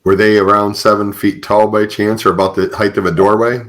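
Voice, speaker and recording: Man, American accent, low pitch, casual interviewer, podcast host. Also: flat delivery, fairly quick, fairly bright tone.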